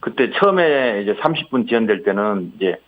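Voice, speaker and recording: A man's voice: lowish voice, animated, fairly quick. A man giving a spontaneous, polite account as an interviewee over the phone, with somewhat hesitant phrasing. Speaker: interviewee, airline passenger. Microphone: phone call. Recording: muffled, band-limited phone connection.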